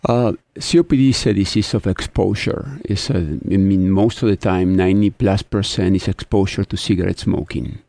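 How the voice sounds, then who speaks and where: A man's voice: low-pitched, moderate pace, creaky, hardly any pauses, warm tone, dry recording. A man with an Argentine accent, a lung doctor from Argentina, answering questions in a friendly way with a lot of "uh" in a radio studio interview. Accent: Argentine Spanish. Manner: friendly, hesitant with many fillers. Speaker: pulmonologist guest.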